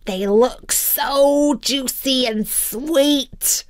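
A woman voicing a greedy, whiny male turkey in a children's story, pleading in a high, wailing voice. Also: drawn-out words, no room sound, clean studio sound.

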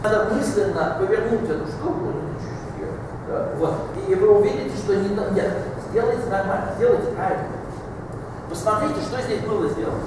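A man's voice: sits high, fairly quick. An older man teaching at a blackboard, explaining step by step in an instructive way, with blunt corrections and short commands. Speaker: mathematics teacher. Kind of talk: explaining a geometry problem at the blackboard. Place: classroom with a large blackboard. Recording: echoey hall, distant mic, noisy room.

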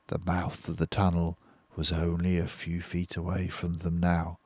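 An older man reading a children's book aloud as storyteller, unhurried and expressive. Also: deep voice, dry recording.

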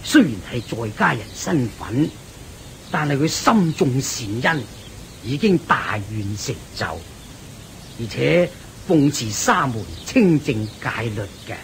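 An actor with a Hong Kong Cantonese accent playing a kindly, wise older Buddhist teacher, patient and full of wonder in a radio drama. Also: male voice, mid-range voice, big pitch swings, slow, gravelly, fairly bright tone, slight background noise, exaggerated voice. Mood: kindly, instructive.

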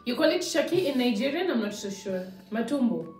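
A woman's voice: fairly high pitch, fairly quick, echoey room, distant mic, soft background music. A young woman, chatting casually and laughing as she tastes food at home. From Kenya. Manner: relaxed, chatty, laughs often. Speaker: vlogger. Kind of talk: casual food-tasting chat. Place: home dining room, seated at a table.

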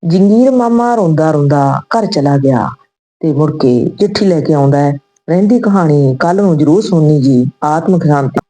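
A woman's voice: sits low, lively intonation, moderate pace, warm tone, faint music behind, rough recording. A woman narrating a scripted story.

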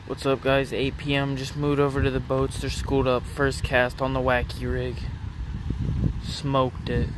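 A man's voice: medium pitch, completely flat delivery, a little slow, background noise. Upbeat young man filming his fishing catches. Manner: casual, upbeat, talking to viewers between catches. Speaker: fishing vlogger. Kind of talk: casual handheld fishing vlog. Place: outdoors at a city pond edge. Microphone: phone camera held in hand.

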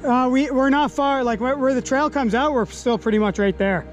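A young man fly fishing outdoors while wading in a river, excitedly commenting on each catch into a body-worn action camera. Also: very high-pitched, normal speed, music in the background. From Canada. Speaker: fly-fishing angler and host. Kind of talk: casual outdoor vlog commentary.

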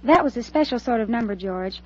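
A woman's voice: a little high, animated, a little fast. A woman delivering scripted banter as a featured guest on an old radio music show. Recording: dull vintage radio recording.

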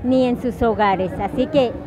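A Guatemalan woman in her forties talking warmly in a street interview, giving thanks and sending greetings, recorded outdoors on a busy city square. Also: on the high side, a little slow, over music. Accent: Guatemalan Spanish. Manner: warm, grateful, sending blessings and greetings. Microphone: clip-on mic, phone camera close. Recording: slightly dull sound, loud crowd behind. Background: crowd chatter.

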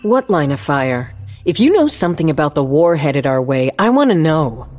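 A woman's voice: deep voice, very animated, moderate pace, darkish tone. A woman playing a determined, wry small-town police chief who narrates a dramatized audiobook in a firm, dry way. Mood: firm, challenging. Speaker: narrator and lead character.

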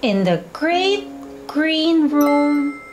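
Slow, sing-song woman teaching young children. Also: high-pitched, very animated, slight background noise. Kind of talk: children's lesson and storybook reading.

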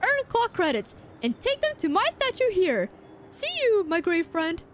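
A woman's voice: very high-pitched, very animated, normal speed, slight background noise. A woman voicing a chummy, boastful clock mascot from a game in a theatrical, sing-song way.

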